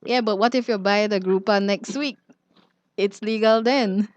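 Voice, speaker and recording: A woman's voice: medium pitch, steady tone, moderate pace, a little mellow, no room sound, muffled recording. A woman speaking casually and explaining things as a guest judge on a radio show. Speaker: studio guest and quiz judge.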